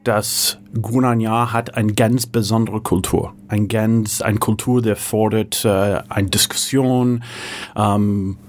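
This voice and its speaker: Middle-aged American man speaking halting German. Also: on the low side, fairly even intonation, a little hiss or hum. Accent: American English. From San Francisco, USA. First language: English. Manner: halting German with frequent fillers and self-corrections. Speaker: guest, tech executive. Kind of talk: conversational interview answers.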